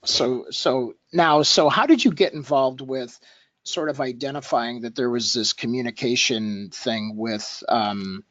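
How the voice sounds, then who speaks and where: A man hosting a casual interview, talking in a halting, rambling, personal way. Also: medium pitch, fairly bright tone, no room sound, highs cut off. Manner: halting, rambling, self-disclosing. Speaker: host interviewing a guest.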